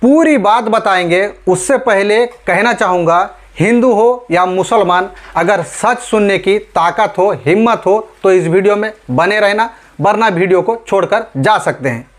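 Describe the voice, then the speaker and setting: High-pitched man delivering emphatic news commentary. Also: measured pace. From India. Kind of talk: scripted news commentary to camera.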